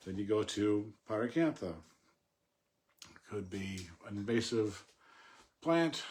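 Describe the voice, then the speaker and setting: A man with a fairly low voice talks very slowly as he works through a poem. His delivery is halting and reflective, in short phrases with pauses, like informal commentary thought out aloud. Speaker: poetry commentator.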